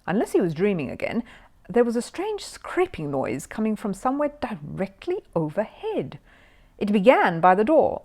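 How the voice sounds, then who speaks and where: Woman, mid-pitched voice, highly animated melody, expressive storybook narration, dramatic emphasis, suspenseful pauses. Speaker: storyteller reading a children's book aloud.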